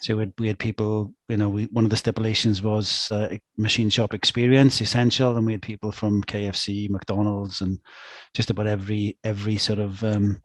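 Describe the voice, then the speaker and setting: A man talks on a video call in a measured, matter-of-fact way. His voice is fairly low and very flat in pitch. The sound is dry, with no room echo. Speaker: engineering business manager. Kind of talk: casual account on a video call.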